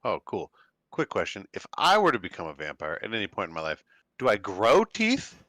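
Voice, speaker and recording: Guy bantering in a tabletop game, super swoopy bright voice, joking, bit of keyboard typing. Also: lowish voice, huge pitch swings, fairly quick, no room sound. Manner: joking, quizzical.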